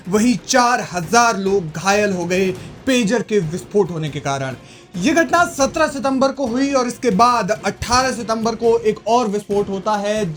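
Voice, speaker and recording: A male news presenter with a notably high-pitched voice reads a scripted report. His delivery is emphatic and explanatory. Faint music plays behind him. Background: background music.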